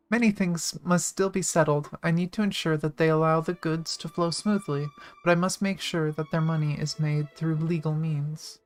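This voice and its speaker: A woman voicing a weary, dutiful young priestess and military leader from a game in a measured, earnest way. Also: deep voice, natural voice.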